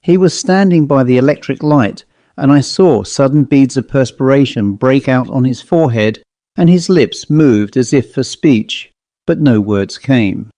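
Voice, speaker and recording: Man, scripted ghost story narration, dark mellow tone, measured pacing, literary delivery, dry sound. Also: mid-range voice, no room sound. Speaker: audiobook narrator.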